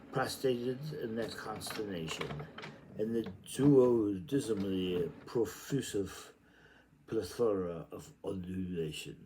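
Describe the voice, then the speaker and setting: A man's voice: deep voice, warm tone, rough recording. A man doing an informal read-aloud of a literary novel, declamatory when reading and muttering rambling asides to himself.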